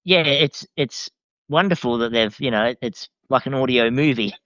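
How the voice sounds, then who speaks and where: An Australian man chatting casually as a podcast guest, enthusiastic but hesitant and halting in his phrasing. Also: medium pitch, a bit bright, no echo, dull audio. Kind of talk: casual chat.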